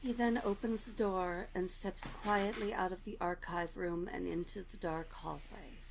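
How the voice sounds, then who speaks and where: A woman's voice: fairly low pitch, steady tone, a little slow, vocal fry, slight background noise. A woman narrating scripted stage directions for a drama.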